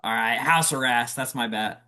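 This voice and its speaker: Man with a very bright, sharp voice. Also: mid-range voice, moderate pace. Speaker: podcast co-host. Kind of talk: casual podcast chat.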